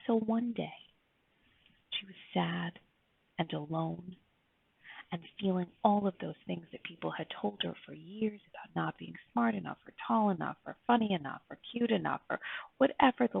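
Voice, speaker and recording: A woman reading her own fairy tale aloud in an earnest, emphatic storybook style with dramatic pauses. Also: medium pitch, creaky, slightly rough. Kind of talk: expressive storybook read-aloud. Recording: tinny phone-like, roomy echo.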